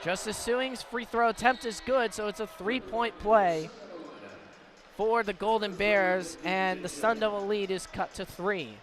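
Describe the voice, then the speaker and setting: A man's voice: sits high, fairly even intonation, unhurried, fairly bright tone. An American man doing live radio play-by-play commentary of a basketball game. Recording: live broadcast with clear background noise. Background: basketball game ambience, bouncing ball.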